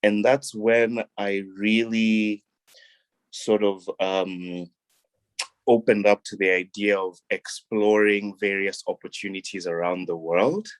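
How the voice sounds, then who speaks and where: A young man from Kenya, a guest on an interview show, talking in a relaxed, reflective way with frequent ums and restarts. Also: fairly low pitch, takes it slowly, clear, resonant tone, no room sound. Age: young adult. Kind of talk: casual interview talk.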